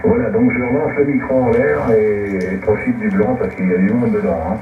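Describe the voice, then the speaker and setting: A man's voice: lowish voice, fairly even intonation, fairly quick. A man chatting casually as an amateur radio operator in a hesitant, rambling way over shortwave. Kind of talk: casual ham radio chat. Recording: narrow, noisy, echoey distant shortwave radio reception. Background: radio static.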